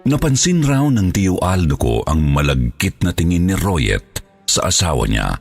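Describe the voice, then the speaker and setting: A male narrator reads a scripted horror tale. His voice is extremely deep and creaky, moving in animated swings over faint music. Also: unhurried.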